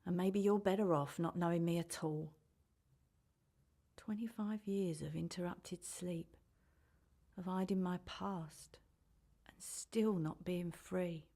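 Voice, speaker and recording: A dark, raspy older woman's voice in a radio play monologue. She is a mother heavy with guilt, brooding and bitter as she confesses. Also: fairly low pitch, steady tone, takes it slowly, long pauses. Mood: self-loathing, weary. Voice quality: husky, gravelly. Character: older woman, guilt-ridden mother who gave her child away.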